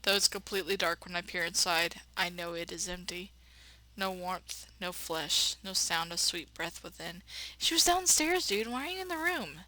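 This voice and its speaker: Female let's player, bright tone, expressive narration with playful, blunt running commentary. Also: fairly low pitch, normal speed, poor audio. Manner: expressive narration broken by blunt sassy asides. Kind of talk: reading a visual novel aloud with playful running commentary.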